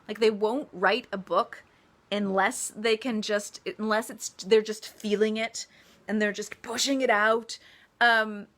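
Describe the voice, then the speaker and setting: Female author for casual live Q&A: bright, forward voice at medium pitch, chatty and emphatic. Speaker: author answering reader questions. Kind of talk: casual livestream Q&A chat.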